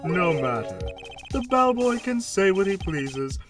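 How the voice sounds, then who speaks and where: A man's voice: sits high, very animated, unhurried, over music. A man acting a pompous, menacing corporate CEO villain in a video game fandub, with grandiose, condescending, theatrical speech. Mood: smug, dismissive. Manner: grandiose, theatrical, condescending, invented fancy words.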